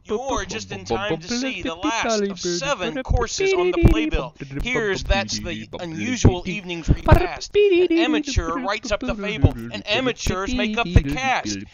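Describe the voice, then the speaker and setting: A high-pitched man reads a verse play as an amateur. His delivery moves around in pitch. The sound is lo-fi, with a loud crowd behind. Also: sing-song, unhurried, few pauses. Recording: dull lo-fi recording, loud crowd.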